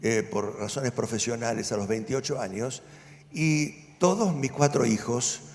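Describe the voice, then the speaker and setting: A man's voice: medium pitch, unhurried, darkish tone, a little hiss or hum. An older man, a pastor telling his life story in earnest, storytelling fashion, rising to firm conviction at times. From Argentina. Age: 70s. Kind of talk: personal testimony at a church event. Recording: long hall reverb with music behind. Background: music.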